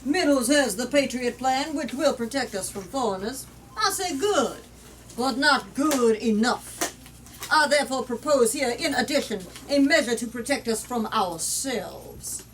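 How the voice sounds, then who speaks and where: A woman's voice: high voice, lively intonation, unhurried. Woman reading as a pompous male politician, distant mic. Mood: pompous, stern. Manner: declamatory, formal oratory. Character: pompous alarmist male politician giving a speech. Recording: distant mic with background noise.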